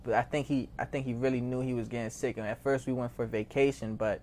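A man's voice: medium pitch, steady tone, quick pace, a little mellow, slight background noise. A nineteen-year-old man from Haiti with an American accent, talking casually and slangily as an interview guest, often repeating phrases. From born in Haiti, raised in Maryland from age seven. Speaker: interview guest, record label founder.

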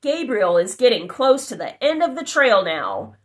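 A woman reading a children's picture book aloud, with animated, exclamatory, child-directed delivery and chatty asides, recorded indoors at home. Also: on the high side, normal speed. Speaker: storybook narrator. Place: living room, indoors.

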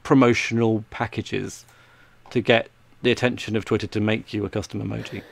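A man's voice: on the low side, flat delivery, brisk, vocal fry, no room sound. Man chatting about tech, close dry sound. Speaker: podcast panellist. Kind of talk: casual tech chat. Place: small home room with shelves.

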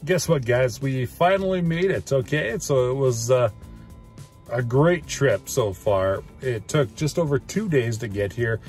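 A man's voice: medium pitch, expressive, unhurried, darkish tone, soft background music. A man in his thirties, chatty and enthusiastic, filming himself from inside a parked car with a phone at arm's length. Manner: chatty, enthusiastic, many fillers. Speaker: EV road trip vlogger. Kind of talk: casual vlog talk to camera. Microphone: phone camera at arm's length.

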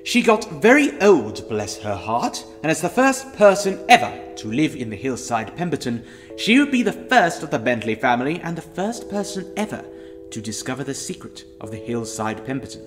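A man plays a wry storyteller of ghostly tales, theatrical and very lively in pitch. Music plays clearly behind his audio drama narration. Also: normal speed, lightly acted.

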